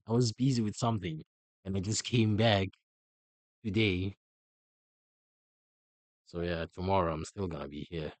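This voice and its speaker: A streamer from South Africa chats with his viewers in a relaxed, drawn-out way, speaking very slowly. His fairly low voice swings widely in pitch, and the sound is dry and close. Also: no room sound. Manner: drawn-out, relaxed, greeting chat. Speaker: streamer and host. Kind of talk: casual live-stream chat.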